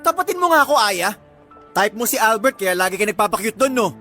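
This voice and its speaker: A man's voice: sits high, very animated, quick pace, bright, forceful tone, soft background music, exaggerated voice. A man playing a jealous, hot-tempered young Filipino boyfriend, sarcastic and accusing, in a radio drama. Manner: menacing, sarcastic.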